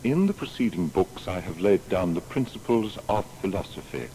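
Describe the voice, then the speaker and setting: Proud natural philosopher, low pitch, formal and measured, expressive swings, historical audio drama, faint background noise. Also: male voice, low-quality recording. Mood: solemn, declaratory. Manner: solemn, measured, formal declaiming. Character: Isaac Newton, grave, proud, exacting natural philosopher.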